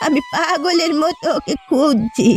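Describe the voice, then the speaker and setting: A woman voicing a grieving old village grandmother in a cartoon, slow, plaintive and tearful. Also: high-pitched. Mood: tearful, distraught. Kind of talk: cartoon voice acting in melodrama.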